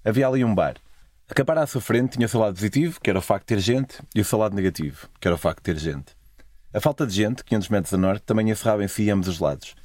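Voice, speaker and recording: A man's voice: fairly low pitch, very fast, a little mellow, no room sound, clean studio sound. A man with a European Portuguese accent narrating a scripted, literary first-person travel story. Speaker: narrator of own travel stories.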